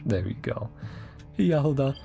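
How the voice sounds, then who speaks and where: A man's voice: medium pitch, expressive, moderate pace, gravelly, dark tone, soft background music. A man streaming gameplay, chatting casually and playfully with muttered asides and short exclamations. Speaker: game streamer reacting to a story quest. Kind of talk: casual gameplay reaction and reading game text aloud.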